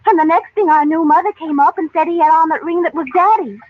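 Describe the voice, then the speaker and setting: A woman's voice: very high voice, fast talker. A woman playing an imaginative, earnest young girl in an old-time radio drama, with a hesitant, wistful manner. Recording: muffled, noisy old radio recording.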